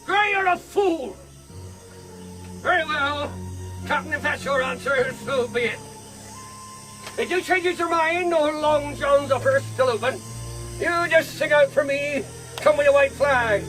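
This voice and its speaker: An actor playing a sly, menacing pirate leader in a radio drama, wheedling and then threatening. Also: male voice, extremely high pitch, unhurried, long pauses, over music, low-quality recording. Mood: defiant. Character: sly, menacing pirate leader with a one-time cook's past.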